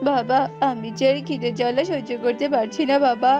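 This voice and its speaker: A woman's voice: on the high side, fairly even intonation, fairly quick, lightly acted. A woman voicing a helpless young jackal boy in a whiny, pleading cartoon style. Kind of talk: cartoon voice acting. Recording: muffled, music underneath.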